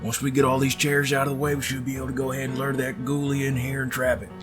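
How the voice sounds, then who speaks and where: A young, take-charge ghost hunter acted by a man with a medium-pitched voice. He sounds impatient and practical, in audio drama style over faint music. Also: completely flat delivery, quick pace, lightly acted. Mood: impatient, brisk.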